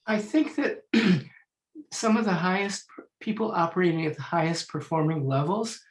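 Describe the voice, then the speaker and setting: A man in his sixties, a Feldenkrais teacher and musician, chatting in an online panel, telling stories and clearing his throat now and then. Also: high voice, normal speed, roomy sound, low-quality recording. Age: 60s. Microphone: video call.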